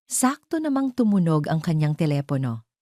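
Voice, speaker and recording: A woman's voice: deep voice, big pitch swings, normal speed, dark tone, no echo, studio quality. A woman narrating a scripted dramatic story as an audio drama narrator.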